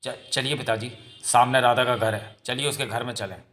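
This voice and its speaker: Casting: male reader as devoted young groom, earnest, pleading, forceful bright tone, mid pitch, comic-book drama. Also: fairly even intonation, moderate pace, natural voice. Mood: worried. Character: earnest, lovestruck young son of a landlord.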